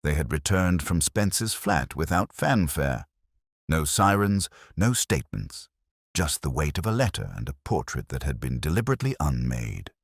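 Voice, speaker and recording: A man narrates a mystery tale in a very deep voice that is a little husky. His delivery is measured and literary, yet the pitch moves expressively. The sound is dry, with no room around it. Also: very animated, no room sound. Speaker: audiobook narrator. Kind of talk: scripted mystery story narration.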